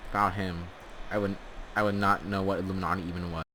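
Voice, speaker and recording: A man's voice: low-pitched, little pitch movement, quick pace, rough recording. A man telling comic stories casually over gameplay, rambling in a tongue-in-cheek, mock-earnest way. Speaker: host of a gaming storytelling channel.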